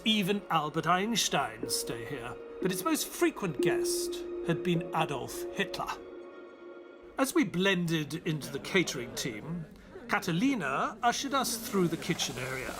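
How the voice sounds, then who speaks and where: Earnest excitable sidekick telling his story, radio play style, pretty high pitched, music behind him. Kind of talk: dramatic narration and dialogue of an audio play.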